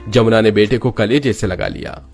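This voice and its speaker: A man's voice: fairly low pitch, normal speed, darkish tone, soft background music. A man narrating a scripted, dramatic moral story as its storyteller.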